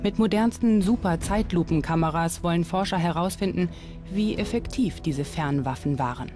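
A woman's voice: lowish voice, normal speed, music in the background. A woman reading scripted documentary narration.

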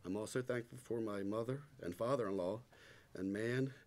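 A man's voice: on the low side, moderate pace, darkish tone. A middle-aged man nervously giving a prepared testimony of thanks at a church lectern. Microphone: stand microphone. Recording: noisy church hall recording.

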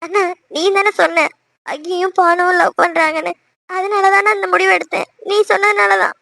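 A woman's voice: extremely high pitch, little pitch movement, moderate pace, vocal fry, bright, forceful tone, exaggerated voice. A woman playing a remorseful, lovestruck teenage younger sister in a family drama, meek and pleading. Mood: timid, defensive. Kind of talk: melodramatic family audio drama.

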